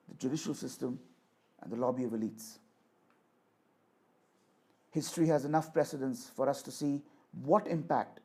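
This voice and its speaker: A man giving a rhetorical political speech in a declamatory, deliberate manner, repeating phrases for emphasis. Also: mid-range voice, dark tone, breathy edge. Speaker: orator reading a voice sample.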